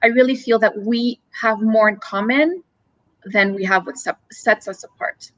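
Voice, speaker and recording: A woman's voice: on the high side, moderate pace, a bit bright, a little hiss or hum, poor audio. Earnest woman explaining in runs of points. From Romania. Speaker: guest, communications company CEO. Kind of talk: live-stream interview.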